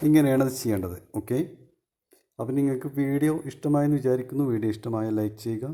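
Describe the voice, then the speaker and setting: A man with a Malayali accent and a lowish, very mellow, soft-edged voice. He hosts a casual phone tutorial, going through each step informally and circling back to repeat points. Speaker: video tutorial host.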